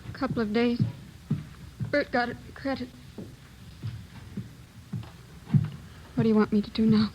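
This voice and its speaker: A woman playing a weary, distraught fugitive's wife in a plaintive, halting way, in 1940s radio crime drama style. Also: on the high side, fairly even intonation, lightly acted. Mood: uneasy. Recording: muffled, faintly noisy old radio recording.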